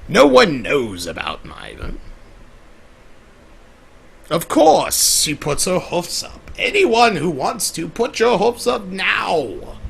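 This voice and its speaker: A man playing a centuries-old male trickster from a pony world, talking to an audience in a theatrical, flamboyant, rambling way with a gravelly, husky voice. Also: high voice, huge pitch swings, takes it slowly, a bit bright, heavily acted. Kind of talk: live roleplay monologue to an audience.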